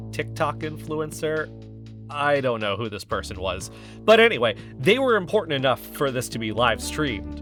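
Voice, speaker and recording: A man's voice: mid-range voice, natural voice. Man acting earnest young male podcaster, self-important amateur sleuth, emphatic, conspiratorial, music bed. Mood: confiding. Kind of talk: scripted fiction podcast monologue.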